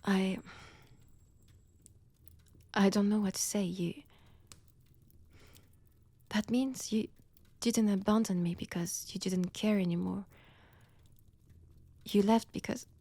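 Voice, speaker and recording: An ancient female vampire with a French accent, acted by a woman, menacing yet tender in an intimate audio drama. Her voice is very breathy and often sinks to a whisper. She speaks slowly and deliberately, with theatrical pauses, very close and dry. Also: mid-range voice, a little mellow, no room sound. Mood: shaken, pleading.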